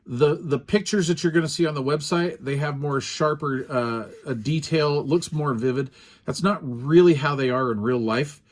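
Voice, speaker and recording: A middle-aged man reviewing a small two-way radio in a relaxed, rambling way, with lots of fillers. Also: a little high, moderate pace. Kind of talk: casual product review.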